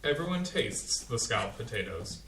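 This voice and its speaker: A man's voice: medium pitch, very animated, moderate pace, fairly bright tone, slight background noise. A man reading the stage directions aloud in a plain, matter-of-fact way during a community staged reading. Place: small community playhouse stage. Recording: echoey hall, distant mic.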